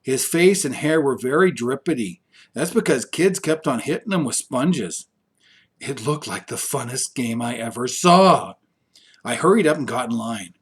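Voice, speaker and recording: A man reading a children's story aloud as scripted storytelling, with expressive delivery that shifts for characters. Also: mid-range voice, moderate pace, breathy edge.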